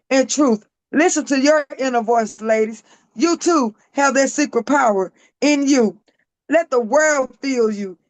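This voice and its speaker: Guest author, female, raspy and hoarse, emphatic spoken-word reading, dry close sound. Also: high voice, dry recording, low-quality recording. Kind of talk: reading her own poetry aloud.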